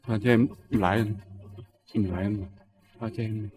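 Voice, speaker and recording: A man's voice: lowish voice, fairly even intonation, moderate pace, lightly acted. An actor playing a cowering man who stammers and begs for mercy, with a Southern Vietnamese accent. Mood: panicked pleading. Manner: stammering, pleading. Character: cowering man begging a gang boss for mercy.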